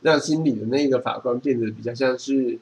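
A man with a Taiwanese Mandarin accent, a podcast co-host, reads aloud and comments casually in an easygoing, measured way. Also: mid-range voice, darkish tone, lo-fi sound, slight background noise.

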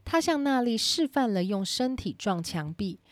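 A woman's voice: fairly high pitch, very animated, normal speed, background noise. A woman narrating a scripted children's story.

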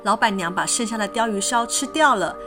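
A woman reading a children's story as narrator in an expressive, scripted storybook style. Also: mid-range voice, a little fast. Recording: music behind the voice.

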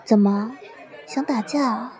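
A woman's voice: fairly high pitch, unhurried, warm tone, lightly acted. A woman playing a mocking schoolgirl bully in a radio drama, with a taunting, sneering delivery. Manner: taunting, sing-song sneering.

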